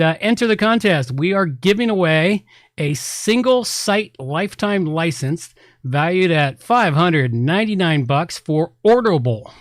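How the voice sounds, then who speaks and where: A man with a somewhat higher-pitched voice hosts a casual podcast. He rambles informally through reminders and announcements. The sound is dry, with very little room in it. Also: a bit bright, dry recording. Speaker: podcast host.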